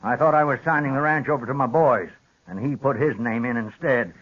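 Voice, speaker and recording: A plain-spoken old cattle rancher, played by an actor, blunt and folksy in his phrasing. He speaks at an average male pitch. The recording is muffled, lo-fi radio drama from the 1950s. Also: fairly quick, close to an everyday voice. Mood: defiant. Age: older. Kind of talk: old-time radio western acting.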